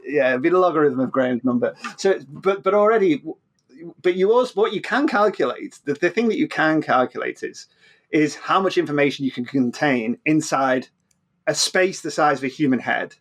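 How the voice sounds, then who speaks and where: A man's voice: a little high, lively intonation, moderate pace. A man explaining science informally as an interview guest, often repeating words and restarting, checking in with "right?".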